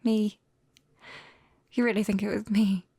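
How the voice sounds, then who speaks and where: Woman acting as gentle sheepish forest witch, British accent, soft breathy ASMR whisper, unhurried, creaky edges. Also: medium pitch, fairly even intonation, no echo, clean studio sound. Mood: defensive, sheepish. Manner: hesitant, coaxing, gently apologetic. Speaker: ASMR roleplay performer. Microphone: close binaural microphone.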